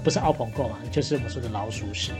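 A woman's voice: very deep voice, rapid-fire. A woman co-host with a Taiwanese accent, chatting casually and explaining things in a wry, teasing way. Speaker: podcast co-host playing bartender. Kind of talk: casual chat, explaining slang. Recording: music playing behind the voice. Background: background music.

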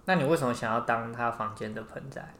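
A woman's voice: very deep voice, fast talker, a bit bright. A woman co-hosting a casual chat, curious and full of questions. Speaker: podcast co-host asking questions. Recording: roomy echo with background noise.

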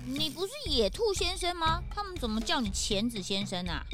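A woman voicing an excitable, blunt and curious young detective's sidekick in a children's audio drama. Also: sits high, a little fast, over music. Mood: excited, curious.

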